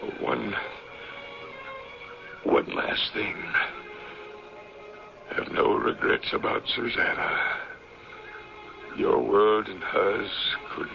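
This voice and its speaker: An actor playing a defiant, dying old leader in a radio drama, speaking haltingly and solemnly in a gravelly voice. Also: male voice, fairly low pitch, steady tone, exaggerated voice. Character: old captain, leader of a secluded long-lived community, dying defiantly. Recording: muffled old radio recording, music behind.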